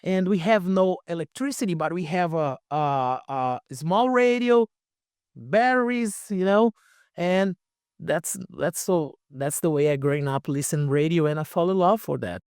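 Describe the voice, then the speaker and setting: A middle-aged man from Brazil with a Brazilian accent, a native Portuguese speaker, telling his story casually as an interview guest in halting English with repeated words. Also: fairly high pitch, expressive, a little mellow, clean tone, dry recording, studio quality. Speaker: podcast guest, radio station owner.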